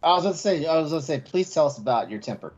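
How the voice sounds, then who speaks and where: A man's voice: fairly high pitch, lively intonation, very fast, darkish tone. Man chatting on a slightly muffled mic. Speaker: podcast co-host. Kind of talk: casual podcast chat. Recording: dull, muffled podcast audio.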